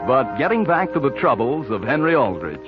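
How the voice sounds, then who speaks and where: Animated vintage radio announcer man. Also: mid-range voice, a little fast, loud music behind the voice. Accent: American. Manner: polished, persuasive, smooth phrasing. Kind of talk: 1940s radio announcing and commercial pitch. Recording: muffled vintage 1940s radio broadcast.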